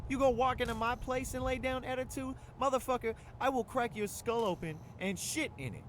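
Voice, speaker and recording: Man playing a high-pitched, volatile video game pimp. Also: a little fast, fairly bright tone, slight background noise. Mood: indignant, blustering. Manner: aggressive, swearing, blustering. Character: foul-mouthed, bullying male brothel pimp, blustering and volatile. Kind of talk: scripted video game dialogue.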